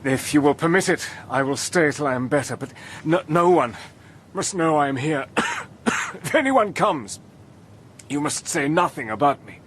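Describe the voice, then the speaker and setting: A man playing a feverish British agent hiding out in wartime Germany, speaking haltingly and courteously between coughs. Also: fairly high pitch, expressive, a bit bright, a little hiss or hum. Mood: urgent, grateful. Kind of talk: radio drama acting.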